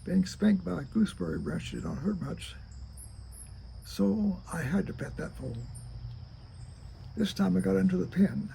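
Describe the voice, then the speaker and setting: An elderly man with a heavily creaky, very dark, soft-edged voice. He reads his own memoir haltingly and deliberately, over a faint insect-like hiss. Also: sits high, expressive. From rural Kentucky, United States. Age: 80s. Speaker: storyteller reading own memoir. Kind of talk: reading a childhood memoir aloud.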